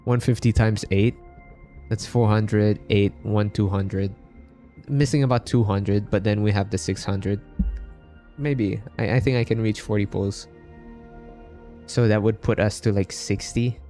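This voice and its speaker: A man's voice: on the low side, fairly even intonation, a little slow, long pauses, mellow. A man from the Philippines livestreaming a game, chatting casually with his viewers. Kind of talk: casual chat with live viewers while gaming. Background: game music.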